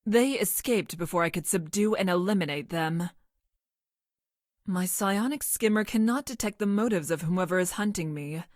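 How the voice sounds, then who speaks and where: A woman playing a logical young woman scientist from the future in a video game, speaking in formal, clinical, precise deadpan. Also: on the low side, moderate pace, no room sound, clean studio sound, natural voice. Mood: detached, wary. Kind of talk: video game character voice lines.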